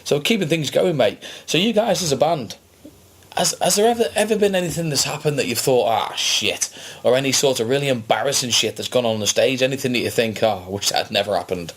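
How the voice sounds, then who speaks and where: A man hosts a casual podcast interview in a chatty, matey way, urging his guest on. His voice is a bit husky. His pitch moves up and down a lot. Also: expressive, quick pace. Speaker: podcast host.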